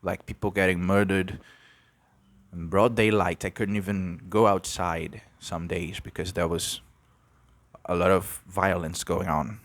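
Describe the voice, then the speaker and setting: A young man from Brazil hosting an unscripted podcast, musing and halting, with many restarts. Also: sits low, flat delivery, dry recording. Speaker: podcast host. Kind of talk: unscripted reflective monologue.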